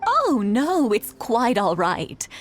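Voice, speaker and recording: Kindly old wife, very unhurried, expressive swoops, gracious game character over music. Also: female voice, on the high side, heavily acted. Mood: tender, nostalgic. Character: kindly elderly wife, playful, sentimental, gracious. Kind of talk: English video game voice acting. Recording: game audio with background music.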